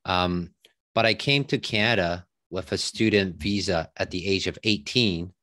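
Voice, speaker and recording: A man, telling his story as a podcast guest in a relaxed, chatty way with many fillers. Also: deep voice, unhurried, no room sound. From Taiwan. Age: 30s. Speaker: podcast guest, real estate investor. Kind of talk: casual interview chat.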